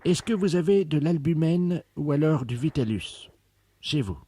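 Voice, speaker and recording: A man playing a pompous scientist in a deadpan prank call, speaking solemnly and pedantically with deliberate articulation. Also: on the high side, breathy edge. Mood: solemn, instructive. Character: pompous male scientist investigating a virus outbreak for radio. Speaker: prank caller. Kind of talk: deadpan prank phone call with hushed radio commentary.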